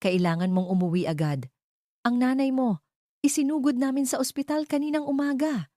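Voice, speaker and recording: A woman's voice: sits high, normal speed, mellow, dry recording, studio quality, voice pushed up, close to an everyday voice. A woman voicing a worried, motherly older neighbor in an audio drama, urgent and anxious, with a Filipino accent.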